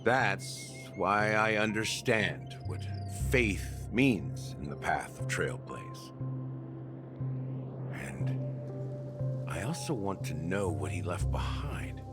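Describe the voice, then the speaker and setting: A man's voice: low-pitched, big pitch swings, takes it slowly, long pauses, over music, heavily acted. An actor playing a sardonic, world-weary middle-aged man in a game, with a wry, laconic delivery. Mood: wry, resigned.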